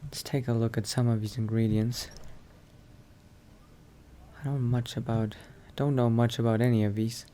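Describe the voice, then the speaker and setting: A man's voice: medium pitch, level tone, moderate pace, long pauses, warm tone, a little hiss or hum, close to an everyday voice. A man playing a cautious vampire adventurer in an improvised game roleplay, musing hesitantly to himself. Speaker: roleplay let's-play player. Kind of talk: in-character improvised roleplay monologue.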